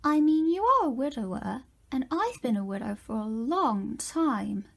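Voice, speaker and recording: A woman's voice: high voice, big pitch swings, unhurried, creaky, heavily acted. A woman playing a coy, forward, flirtatious rich widow in a fan audio drama.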